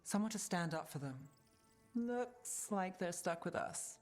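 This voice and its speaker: A woman's voice: lowish voice, expressive, measured pace. A woman voicing a guarded, self-reliant female companion in a fantasy video game, wry and understated. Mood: wry, reflective. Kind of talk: scripted video game dialogue. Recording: game audio with music underneath.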